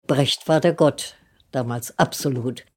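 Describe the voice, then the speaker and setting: A woman's voice: rumbling low, measured pace, slightly rough. An older woman interviewee recalling past work in casual, rambling, conversational speech. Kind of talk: casual spoken recollection.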